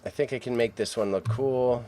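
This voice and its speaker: A man's voice: mid-range voice, moderate pace, warm tone, soft background music. A Canadian man in his thirties, livestreaming from a room at home, muttering to himself as he edits photos and then chatting casually with viewers. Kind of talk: casual live-stream chat.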